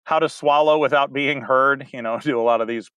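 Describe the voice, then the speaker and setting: A man's voice: mid-range voice, steady tone, brisk, a bit bright, clear, resonant tone, no echo, highs cut off. A man, a community theater director, chatting casually as an interview guest, rambling a bit and laughing easily.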